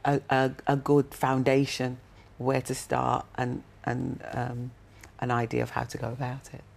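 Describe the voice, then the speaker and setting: A woman's voice: a bass voice, monotone, measured pace, gravelly, a little mellow. A woman giving an informal, conversational book review as a member of a panel.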